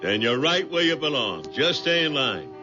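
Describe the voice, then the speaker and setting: A man's voice: fairly high pitch, sing-song, normal speed. A man acting a curt, sardonic traffic cop in a 1970s radio drama. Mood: curt, impatient. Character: curt, sardonic policeman directing jammed traffic. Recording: dull vintage radio recording, loud music behind.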